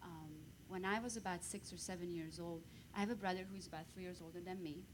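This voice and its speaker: Fast-talking woman with a Hungarian accent. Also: lowish voice, background noise. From Romania. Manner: lively storytelling, bursts of emphasis. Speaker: guest speaker. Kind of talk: personal testimony on stage.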